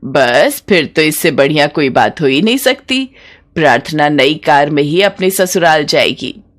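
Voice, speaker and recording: A woman plays a middle-aged city mother-in-law in a moral tale. She is scheming under a placating, polite manner, in a lowish female voice. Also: lively intonation, unhurried. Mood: pleased.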